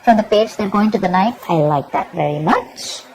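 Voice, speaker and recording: A woman's voice: medium pitch, animated, normal speed, a little mellow, a little hiss or hum, rough recording. A woman giving a tarot reading to her viewers, emphatic and repetitive, often checking in with "okay?". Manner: emphatic, repeats herself, keeps asking "okay?". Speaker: tarot reader.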